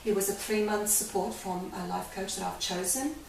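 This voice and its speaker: A woman's voice: medium pitch, normal speed, creaky, darkish tone. Older Hungarian-accented woman in an echoey room. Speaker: life coach guest. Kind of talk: candid interview. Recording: echoey room, mic far off, faint hiss.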